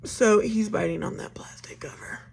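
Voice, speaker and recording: Raspy, chatty woman in her thirties. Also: medium pitch, moderate pace, in a whisper. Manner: chatty, rambling, addresses viewers as y'all. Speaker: vlogger. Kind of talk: casual get-ready-with-me chat.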